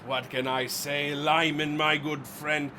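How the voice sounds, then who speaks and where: An actor playing an obsessive, defiant scientist in a radio drama, with a grandiose, declamatory and intense delivery. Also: male voice, on the high side, flat delivery, slow, noisy background. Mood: grandly intense. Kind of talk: theatrical radio drama acting.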